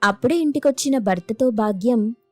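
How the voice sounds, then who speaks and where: A woman's voice: fairly high pitch, normal speed, no echo, soft background music. A woman narrating a scripted moral story as its storyteller.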